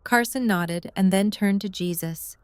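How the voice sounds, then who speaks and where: A woman's voice: mid-range voice, a little slow, clean tone. A woman reading scripted third-person narration for an audio drama.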